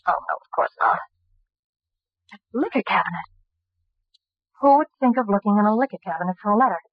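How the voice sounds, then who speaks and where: An actress playing an anxious but determined amateur sleuth in a 1940s radio drama. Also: female voice, mid-range voice, animated, fairly quick, no echo, natural voice. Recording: dull, lo-fi old radio recording.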